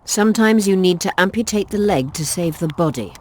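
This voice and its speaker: An actress playing a determined female rebel commander in a video game, measured, serious and commanding. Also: lowish voice, animated, husky. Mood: grave, resolute.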